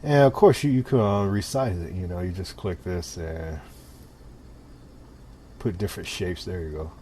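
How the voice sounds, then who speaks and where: Low-pitched man narrating a casual tutorial, noisy recording. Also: normal speed. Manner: informal, step-by-step, talking while demonstrating. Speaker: tutorial presenter. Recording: clear steady background noise.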